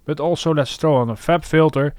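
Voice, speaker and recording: A man's voice: a little high, brisk, background noise. A man hosting a music production tutorial, talking casually and thinking aloud as he works. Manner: thinking aloud while working. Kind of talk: casual screen-recorded tutorial commentary.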